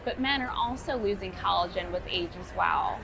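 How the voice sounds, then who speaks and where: A woman dermatologist on a live panel, explaining in a friendly, clear way. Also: fairly low pitch, normal speed, fairly bright tone, poor audio. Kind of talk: panel discussion. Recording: live event with clear noise.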